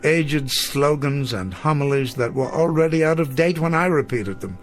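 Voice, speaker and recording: An actor playing a weary, self-doubting elderly schoolteacher, speaking formally and eloquently in a radio drama. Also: male voice, a little high, normal speed, soft background music, close to an everyday voice. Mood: despairing.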